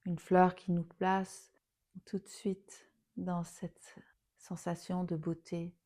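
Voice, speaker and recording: Female meditation guide, lowish pitch, unhurried and soothing, phrases fading softly into breath. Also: little pitch movement. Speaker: yoga nidra teacher.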